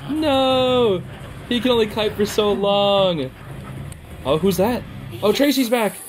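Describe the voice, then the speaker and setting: A man giving casual, slangy live reaction commentary in an excitable, giggly, exclaiming manner. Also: sits high, slow pace, slight background noise. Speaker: host of a reaction video.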